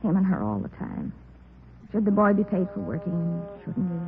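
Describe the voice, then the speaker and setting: A woman's voice: on the low side, very animated, moderate pace. An actress playing a nosy, chatty, insinuating neighbor woman in a 1940s radio drama. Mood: gossipy, indignant. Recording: old tinny radio recording, faint music.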